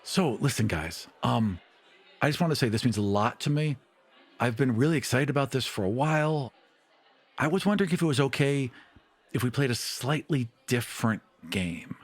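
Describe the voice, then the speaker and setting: A man's voice: mid-range voice, expressive, normal speed. A man running a tabletop roleplaying game, improvising narration and dialogue in an informal, conversational way. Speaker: game master.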